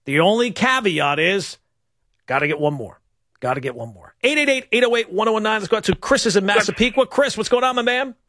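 Middle-aged male sports-talk host, very high bright voice, emphatic, dry close mic. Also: fairly quick, dry recording. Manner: excitable, emphatic, mock-indignant.

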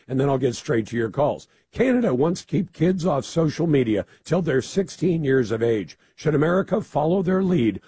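A man with a gravelly, raspy voice hosting a live talk radio show in an emphatic, opinionated manner. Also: medium pitch, warm tone. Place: radio studio.

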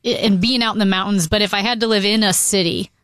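A woman's voice: medium pitch, fairly even intonation, quick pace, a bit bright, clean tone, no echo. Female radio co-host, dry close sound. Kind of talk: casual banter on a talk radio show. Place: radio studio. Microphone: close studio broadcast microphone.

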